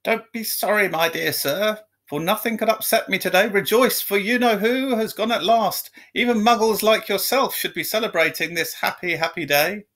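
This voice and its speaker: A man voicing a joyful old wizard in a storybook, squeaky and effusive, with old-fashioned courtesy. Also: moderate pace, few pauses, fairly bright tone. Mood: overjoyed.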